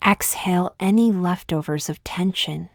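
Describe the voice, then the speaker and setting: A woman leading a guided meditation in a calm, gently measured, scripted style with long pauses between phrases. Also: fairly low pitch, gravelly, no echo, studio quality.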